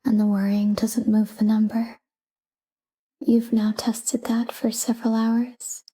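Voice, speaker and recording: Breathy, whispering woman guiding sleep meditation. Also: on the high side. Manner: calm, unhurried, short phrases with pauses. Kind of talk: soft guided sleep meditation, whispered talk-down.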